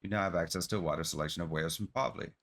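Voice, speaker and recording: A man's voice: low-pitched, very fast, fairly bright tone. A man streaming a video game, reading quest text aloud and adding casual comments.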